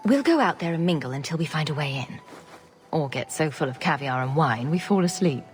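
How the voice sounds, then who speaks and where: A woman's voice: deep voice, expressive, fairly quick. A woman voicing a sardonic, confident noble adventurer in a video game, with a dry, wry delivery. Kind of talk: video game cutscene acting.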